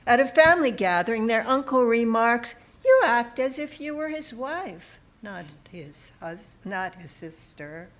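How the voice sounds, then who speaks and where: A woman reading a literary personal essay aloud, with deliberate, dramatic phrasing and pauses. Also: a little high, lively intonation. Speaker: essayist reading own work.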